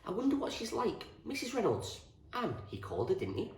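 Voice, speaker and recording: A man's voice: sits high, huge pitch swings, a little fast, pitched above the performer's own voice, exaggerated voice. Man doing a chatty young boy, lilting. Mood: curious. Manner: frank, colloquial, questioning. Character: chatty, blunt young boy, a wartime-style storybook lad. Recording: roomy echo, distant from the mic.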